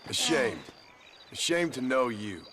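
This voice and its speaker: A man's voice: mid-range voice, very animated, a little slow, fairly bright tone, slight background noise. A man playing a stern former outlaw in a video game, speaking in a dry, sarcastic, menacing way. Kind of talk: video game cutscene acting.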